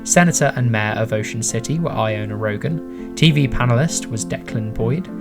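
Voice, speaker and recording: A man's voice: medium pitch, normal speed. Man reading credits over guitar music. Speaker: writer and director reading closing credits. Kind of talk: scripted announcement.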